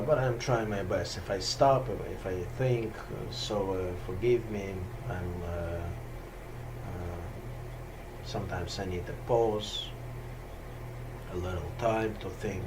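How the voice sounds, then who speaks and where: A man from Russia with a Russian accent, a yoga teacher talking reflectively with many hesitations and pauses, sometimes clearing his throat. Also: low-pitched, noisy background. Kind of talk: slow reflective monologue.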